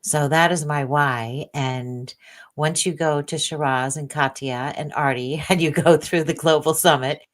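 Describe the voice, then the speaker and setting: A woman's voice: deep voice, fairly even intonation, unhurried. A woman financial coach introducing herself on a panel in a bold, playful manner.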